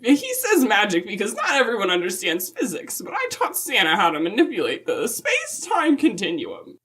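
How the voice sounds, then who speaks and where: Woman playing animated, folksy, genteel Mrs. Claus. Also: mid-range voice, normal speed. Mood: matter-of-fact. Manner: genteel, dithering, old-fashioned phrasing. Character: kindly, prim, folksy Mrs. Claus from the North Pole. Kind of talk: in-character holiday interview.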